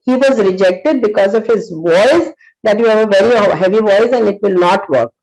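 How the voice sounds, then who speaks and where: An Indian woman in her sixties gives a motivational talk in an earnest, storytelling way. She pauses often and fills gaps with "uh" as she searches for words. Her voice sits at a middling pitch. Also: lively intonation, darkish tone, low-quality recording. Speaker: psychologist and motivational speaker.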